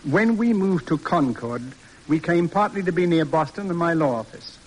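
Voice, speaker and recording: A man's voice: fairly high pitch, animated, normal speed, a little mellow, slightly rough. An actor playing a stern, articulate middle-aged American judge and father, formal and dryly sarcastic, in an old-time radio drama. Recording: noisy vintage radio recording.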